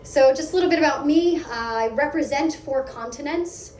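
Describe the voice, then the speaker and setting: An American woman leading a product team gives a lively, conversational meetup talk, asking the audience questions. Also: sits high, moderate pace. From United States. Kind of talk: live conference talk. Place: event hall. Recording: echoey hall, distant mic, faint hum.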